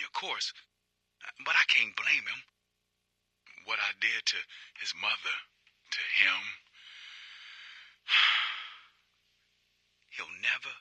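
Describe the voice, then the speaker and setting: A guilt-ridden male detective broods aloud in a video game confessional. The voice is raspy and hard-edged, close and dry, with a halting, obsessive intensity. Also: medium pitch, pushed and bright, no echo, exaggerated voice. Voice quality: husky, raspy.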